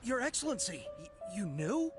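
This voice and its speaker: Fervent young soldier, high pitch, very animated, deferential, game dialogue. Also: male voice, moderate pace. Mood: startled. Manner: pleading, deferential, emotional. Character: earnest, fervent young male soldier loyal to his leader. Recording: music under the voice.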